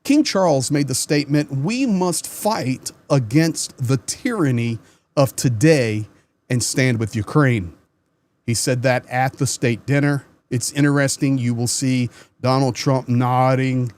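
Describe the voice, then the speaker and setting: A man's voice: mid-range voice, measured pace, a little mellow. A man hosting his own channel, giving casual news commentary in an earnest, conversational way and repeating words as he starts a sentence. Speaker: channel host.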